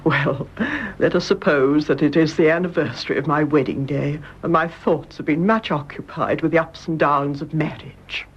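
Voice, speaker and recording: A British actress playing a kindly, dignified older mother-in-law in a radio drama, measured and genteel. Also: sits low, fairly even intonation, slightly rough, dull audio. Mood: grave, sympathetic. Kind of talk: BBC radio drama acting.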